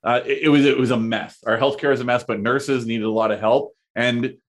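A man in his thirties, chatting as a podcast guest, talking in a halting way with lots of fillers and restarts. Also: medium pitch, fairly even intonation. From Canada. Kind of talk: casual conversation.

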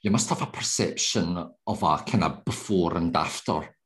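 A man's voice: deep voice, normal speed. A man hosting a casual interview podcast, chatty and teasing, with rambling questions. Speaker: podcast host.

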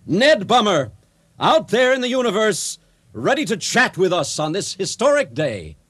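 Grandly folksy man orator, lively pitch. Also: moderate pace, exaggerated voice. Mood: grandly ceremonial. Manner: grandiloquent, theatrical delivery. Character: pompous, folksy ceremonial orator of a spacefaring future. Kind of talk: comic speech in a radio drama.

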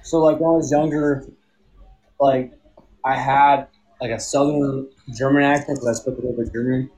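A man's voice: mid-range voice, steady tone, moderate pace, a little mellow, rough recording. Male co-host, laid-back rambler, casual chat among friends. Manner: rambling, talks sports and fantasy football. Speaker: podcast co-host. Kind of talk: casual group banter.

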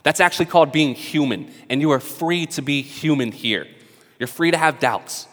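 A man from North Carolina with a Southern accent, preaching casually to his church while raspy from a cold. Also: a little high, normal speed, a bit bright, long reverb tail. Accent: Southern American. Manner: casual, conversational preaching with frequent restarts. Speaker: pastor. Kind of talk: sermon. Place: church service in a hall.

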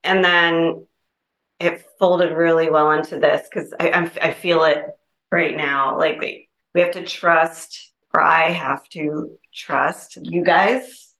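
A woman's voice: low-pitched, fairly even intonation, takes it slowly, a bit bright. A woman sharing reflections casually in a group discussion, hesitant and searching for her words. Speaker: participant in a Zen group discussion. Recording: roomy, echoing group-room pickup.

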